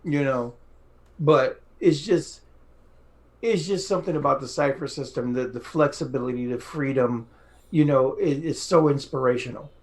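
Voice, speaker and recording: A man's voice: medium pitch, moderate pace. A middle-aged man co-hosting a podcast, talking casually and effusively, repeating phrases for emphasis. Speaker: podcast co-host. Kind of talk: casual enthusiastic group chat.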